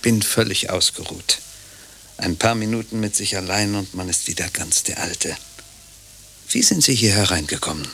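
An actor in a German radio play playing a fussy, nervous husband, gravelly and flustered, eager to please. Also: male voice, deep voice, expressive, moderate pace, pushed and bright, full character voice. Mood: obliging. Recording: noticeable background noise.

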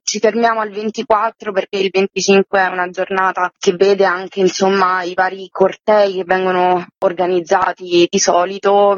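Female event organiser being interviewed. Medium pitch, formal and explanatory delivery, close dry but dull sound. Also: dry recording. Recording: dry but muffled lo-fi audio.

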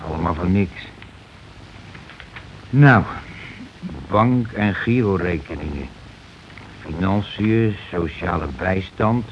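Gruff low-voiced grumbling husband. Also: male voice, takes it slowly, pauses often. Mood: grumbling, matter-of-fact. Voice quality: coarse colloquial speech. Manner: nagging, swearing, impatient. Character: gruff, grumbling working-class husband, bossy and irritable. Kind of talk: naturalistic radio play acting. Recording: dull, noisy old radio recording.

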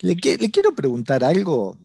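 A man's voice: fairly high pitch, wildly swooping pitch, moderate pace, creaky, dark tone, husky. A man hosting a radio interview, coaxing his guest with friendly questions. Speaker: radio host.

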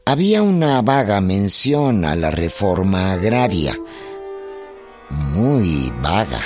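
A male narrator tells a scripted history in a radio play. His voice is fairly low and very unhurried, over clearly audible music. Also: sing-song. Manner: deliberate, storytelling.

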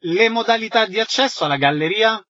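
Female radio interviewer with a high voice, presenting listings and questioning guests, slightly lo-fi sound. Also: animated, a little fast, fairly bright tone. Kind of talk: radio interview, reading out event details.

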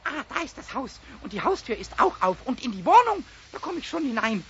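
Cheeky little kobold, super high squeaky excitable voice, hot temper, old noisy radio play recording. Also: male voice, a little fast, muffled recording. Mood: boastful, excited. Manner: excitable, stammering repetitions, rhyming outbursts. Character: cheeky small male kobold with a fiery temper. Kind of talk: children's radio play acting.

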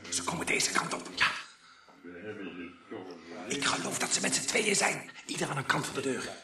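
An actor playing a brusque, weary police sergeant who questions and commands drily in a radio play. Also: male voice, mid-range voice, sing-song, normal speed, crowd noise, close to an everyday voice. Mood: tense, hushed. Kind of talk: Dutch radio drama acting. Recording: echoey, distant, faintly noisy radio-play sound.

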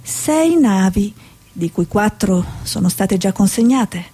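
An actress playing a haunted, searching woman journalist in a radio drama, with a breathy voice. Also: mid-range voice, lively intonation, takes it slowly, dark tone. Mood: brooding, investigative. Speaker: actor in a radio play. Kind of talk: dramatic stage monologue and dialogue.

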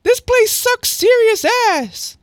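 Squeaky, whiny young guy. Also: expressive, takes it slowly, no room sound, clean studio sound, heavily acted. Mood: whiny complaining. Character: C C, young man, whiny, bored, crude complainer.

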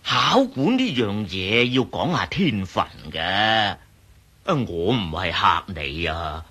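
Very husky, animated scholarly professor. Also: male voice, fairly low pitch, slow, full character voice. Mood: gently warning. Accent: Hong Kong Cantonese. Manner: hesitant, mumbling fillers, explanatory. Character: talkative, scholarly archaeology professor, indulgent and cautionary. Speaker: radio drama actor. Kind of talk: Cantonese radio drama acting.

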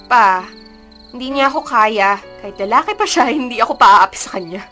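A woman's voice: a little high, lively intonation, moderate pace, pushed and bright, soft background music. A woman playing a feisty, proud and cheeky female student in a radio drama. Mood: defiant. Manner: spirited, cheeky.

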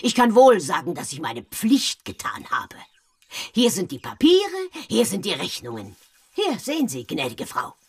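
An actress playing a grasping, slovenly slum foster mother in her fifties, husky and insistent, in a German radio drama. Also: medium pitch, wildly swooping pitch, unhurried, dry recording, studio quality, full character voice. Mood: self-righteous, insistent. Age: 50s.

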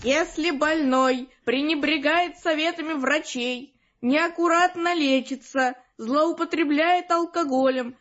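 A solemn, precocious child recites in an audio drama. The voice is high and very slow, deliberate, with a slightly dull sound. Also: female voice, fairly bright tone. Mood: solemn, knowing. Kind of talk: scripted audio drama dialogue.

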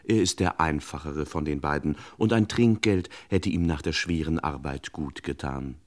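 An actor playing the dry storyteller, giving scripted narration in a radio crime comedy. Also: male voice, very deep voice, moderate pace.

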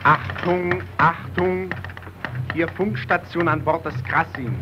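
Slow, formal ship's radio operator. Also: male voice, fairly high pitch, steady tone. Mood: urgent, formal. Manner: measured, announcing. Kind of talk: German radio play acting. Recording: muffled, noisy old radio recording.

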